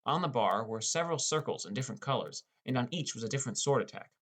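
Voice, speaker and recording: A man's voice: lively intonation, fast talker, a bit bright. A man reading a first-person fantasy story as an audiobook narrator. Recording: rumbly background noise.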